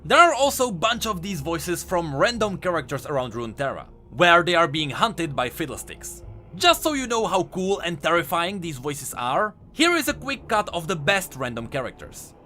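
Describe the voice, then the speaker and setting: A man's voice: on the high side, sing-song, moderate pace, bright, forceful tone, faint music behind. A man hosting a scripted explainer video as a game lore commentator.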